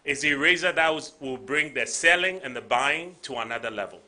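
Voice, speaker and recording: A Ghanaian man speaks at a conference with a rallying, repetitive cadence. His voice is very bright and ringing. He drives each point home by restating it. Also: medium pitch, brisk. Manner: rallying, repeats phrases for emphasis. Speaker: panel speaker. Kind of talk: conference speech.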